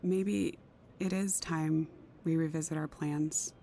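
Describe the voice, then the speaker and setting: Worried careful career mom, audio drama, lowish mellow voice, confiding, hesitant. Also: female voice, fairly even intonation, slow, gravelly, slight background noise, exaggerated voice. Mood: wistful. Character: careful, troubled career woman, secretly a mother. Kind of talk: intimate audio drama acting.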